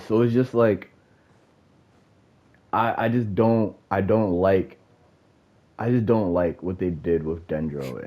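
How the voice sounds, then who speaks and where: A man with a very low, husky voice and a stuffed-up, nasal sound rants about a game. He swears freely and rambles through many fillers and restarts. The recording is slightly dull and lo-fi. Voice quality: nasal, congested. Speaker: gamer giving opinions. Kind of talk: casual rant.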